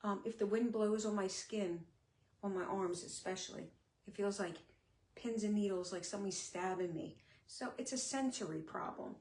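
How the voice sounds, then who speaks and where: A middle-aged woman talking candidly on a live stream, her speech sometimes a little slurred, with many pauses and fillers. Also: mid-range voice, a little mellow. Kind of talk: casual live-stream talk. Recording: echoey room.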